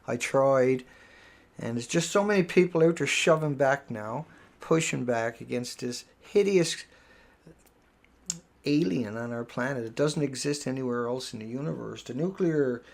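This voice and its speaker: Gravelly man rambling on a live stream. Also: mid-range voice, measured pace. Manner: rambling, emphatic, repeats words. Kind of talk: casual live stream monologue.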